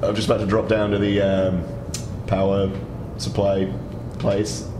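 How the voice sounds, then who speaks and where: A man's voice: on the low side, completely flat delivery, unhurried. A man playing a tabletop game, chatting and roleplaying in a casual, joking way. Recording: clearly noisy background.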